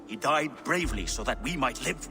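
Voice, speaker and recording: A man's voice: medium pitch, lively intonation, brisk, vocal fry, over music. An actor playing a stern, weary older Norse king in a video game, formal and imperious. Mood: weary, paternal. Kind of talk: video game character acting.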